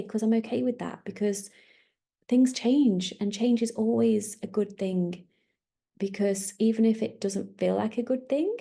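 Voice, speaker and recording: Gravelly-voiced woman chatting casually. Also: mid-range voice, mellow. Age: 30s. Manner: chatty, rambling, self-correcting. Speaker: podcast host. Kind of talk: casual solo podcast chat.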